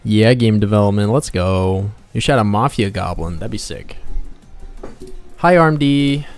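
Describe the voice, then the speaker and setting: A man with a fairly low, slightly gravelly voice chats casually as a livestream host over music. He rambles playfully, half to himself and half to his viewers, in the easy style of a game developer working live. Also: expressive, normal speed. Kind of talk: casual livestream chat while drawing, reading out viewer comments.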